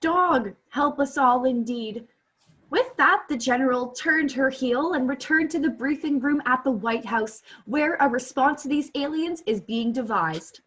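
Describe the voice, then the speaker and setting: High-pitched earnest female radio reporter played by a student. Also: a little slow, fairly bright tone, husky, full character voice, low-quality recording. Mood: dramatic, covering. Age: young adult. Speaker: student actor. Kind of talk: comedic radio play acting. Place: small bedroom at home. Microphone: laptop on a video call.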